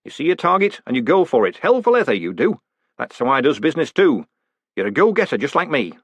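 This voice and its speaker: A man's voice: a little high, very animated, quick pace, breathy edge, dry recording, voice pushed up, heavily acted. A male audiobook reader plays a short, bird-like mayor of a provincial town. He is nervous yet chummy, speaking in folksy, deferential phrases. The voice sits raised and swings widely, in a very dry recording. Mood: chummy, eager. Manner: folksy, deferential, repetitive colloquial grammar.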